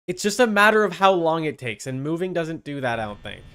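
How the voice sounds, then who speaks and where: A man's voice: fairly high pitch, very animated, brisk, fairly bright tone, clean tone. A man livestreaming a video game with casual commentary, muttering to himself, repeating phrases and chatting with viewers. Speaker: livestreamer playing a horror game.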